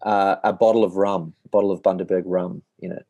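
オーストラリア訛りの30代男性が、ポッドキャストで淡々と単調な口調で雑談している。. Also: male voice, fairly quick, no room sound. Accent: Australian. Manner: monotonous, low-key delivery. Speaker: podcast co-host, musician. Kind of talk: casual chat.

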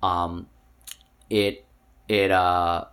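A man co-hosting a casual podcast chat, telling a story with lively bursts of laughter. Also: sits low, very slow. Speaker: podcast co-host.

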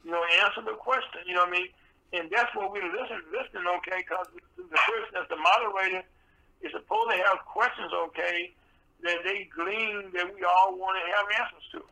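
A man hosting a radio talk show with a soft voice, rambling and full of fillers and restarts. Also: high voice, a little fast, vocal fry, poor audio.

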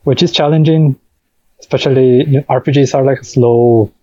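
A man's voice: mid-range voice, steady tone, moderate pace, warm tone, dry recording, dull audio. A man who is a native Spanish speaker, explaining his work as a game developer in a casual interview, in piecemeal phrases.

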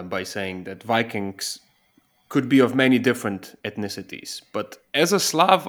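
A young man from Slovenia, a native Slovenian speaker, hosting an interview and asking long, curious framing questions. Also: fairly low pitch. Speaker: podcast host.

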